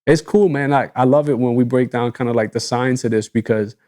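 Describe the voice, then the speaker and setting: Relaxed man with a mellow voice. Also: mid-range voice, a little fast. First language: Arabic. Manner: relaxed, enthusiastic, casual slang. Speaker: podcast co-host. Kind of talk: casual business chat.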